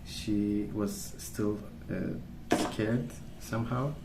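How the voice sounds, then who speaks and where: A man speaking softly in a calm, gentle, unhurried way, rambling in a casual ASMR storytelling style. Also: fairly low pitch. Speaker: ASMR video creator. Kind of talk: soft-spoken ASMR rambling. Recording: roomy sound with clear background noise.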